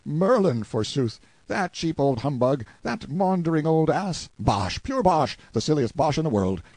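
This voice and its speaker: A man's voice: mid-range voice, sing-song, normal speed, darkish tone, husky, no echo, pitched above the performer's own voice. A man playing a brash, sardonic, boastful hero in an audiobook, with emphatic, exclamatory delivery. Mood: scornful outburst. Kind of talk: audiobook character acting.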